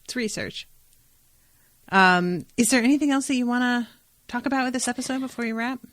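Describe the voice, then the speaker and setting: A woman's voice: fairly high pitch, fairly quick, fairly bright tone, dry recording. A woman hosting a podcast, talking casually and informally with playful, opinionated asides. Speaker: podcast host. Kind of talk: casual chatty podcast discussion.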